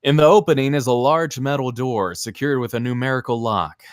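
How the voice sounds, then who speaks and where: A man's voice: medium pitch, fairly quick, dry recording, clean studio sound. A man reading scripted screenplay narration in a theatrical, clipped present-tense style. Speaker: stage-direction reader at a table read.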